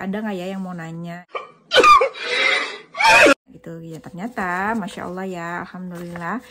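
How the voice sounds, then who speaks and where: A young woman from Indonesia, a vlogger chatting casually at home as she answers viewer questions, with frequent fillers. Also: mid-range voice. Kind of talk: casual chat. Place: home in Japan.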